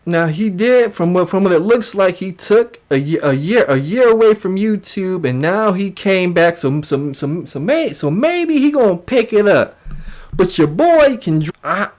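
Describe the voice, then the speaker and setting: Slow, deliberate livestream commentator, emphatic and repetitive. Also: medium pitch, expressive, a little mellow. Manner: emphatic, repeats phrases for emphasis. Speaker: YouTube commentary host.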